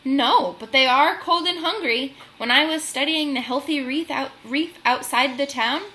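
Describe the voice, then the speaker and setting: A woman voicing an excitable male animal scientist who is eager to explain, in a children's storybook. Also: high-pitched, a little slow. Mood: excited.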